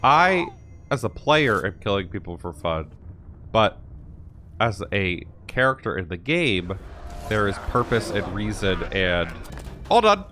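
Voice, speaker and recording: A man gives casual reaction commentary as a gaming host. His delivery is lively and sarcastic, swinging in pitch, with game music playing behind him. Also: unhurried. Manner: animated, sarcastic interjections.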